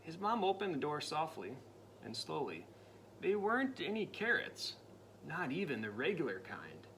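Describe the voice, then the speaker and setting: A man reading a picture book aloud to a child, dramatic and playful, dropping into spooky whispers and jumping to loud cries. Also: on the high side, wildly swooping pitch, normal speed, slight background noise. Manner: playful asides to the listening child. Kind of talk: storybook read-aloud.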